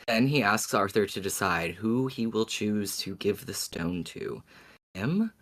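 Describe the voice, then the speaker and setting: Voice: low voice, lively intonation, measured pace, a bit bright. A podcast co-host talking in a casual, conversational style with emphatic phrasing.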